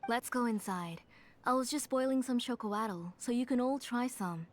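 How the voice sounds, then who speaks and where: A woman playing a gentle, hospitable, tired village auntie in a video game. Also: on the high side, moderate pace, slight background noise, close to an everyday voice. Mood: fond.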